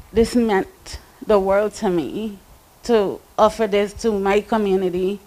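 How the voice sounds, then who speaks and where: A woman speaking at a community memorial event, delivering remarks and poetry in short, measured phrases. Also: mid-range voice, darkish tone, poor audio.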